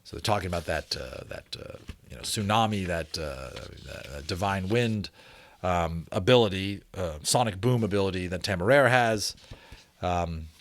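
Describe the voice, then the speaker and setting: A man's voice: deep voice, normal speed. A man hosting a book read-aloud, shifting between theatrical, formal reading and informal asides. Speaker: host reading books aloud.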